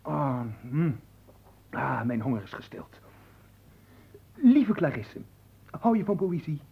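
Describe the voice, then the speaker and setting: A roguish manservant in a theatrical radio play. His husky, mellow voice swings freely up and down as he flirts glibly and savours his food, always playful and brazen. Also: on the high side, sing-song, slow, exaggerated voice. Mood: glib, teasing. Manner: glib, playful, talks while eating and humming. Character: cheeky, gluttonous manservant and adventurer, flirtatious rogue.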